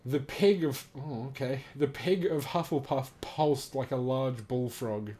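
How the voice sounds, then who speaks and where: A man's voice: medium pitch, unhurried, warm tone. A young man reading a text aloud and adding casual, joking, sweary commentary in an amused way. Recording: noticeable background noise.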